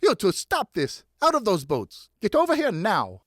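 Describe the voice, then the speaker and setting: A man's voice: sits high, wildly swooping pitch, normal speed, a bit bright, dry recording, clean studio sound, pitched above the performer's own voice, exaggerated voice. A man voicing a stern, outraged woman in an audiobook, scolding with emphatic, indignant commands. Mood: outraged, commanding. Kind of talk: audiobook character voice.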